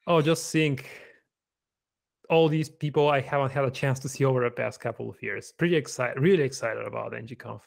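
A man in his thirties speaks with a Bulgarian accent, his answers quiet and considered. His voice has a touch of gravel, heard through a desk mic on a video call from home. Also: medium pitch, a little fast. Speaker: guest software engineer. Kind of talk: casual video-call interview. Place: living room at home. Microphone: desk microphone on video call.

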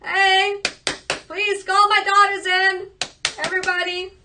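Casting: woman as a grumpy old king, haughty and loud, heard from far off. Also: very high-pitched, flat delivery, slow, noisy background, pitched above the performer's own voice. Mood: commanding. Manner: indignant, scolding. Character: grumpy old king, a father demanding love. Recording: distant mic.

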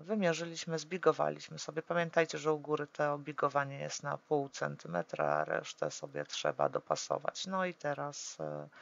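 Gravelly-voiced woman explaining a craft project. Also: low-pitched, dull audio. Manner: hesitant, full of drawn-out "e" fillers while working; calm, practical instructing. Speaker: papercraft tutorial maker. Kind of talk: step-by-step craft tutorial.

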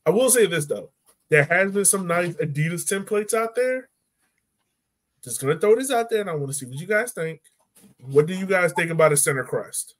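American guy hosting casual sports talk, slightly high voice, jokey and opinionated, on a video call. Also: lively intonation, normal speed. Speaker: podcast host. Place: room at home.

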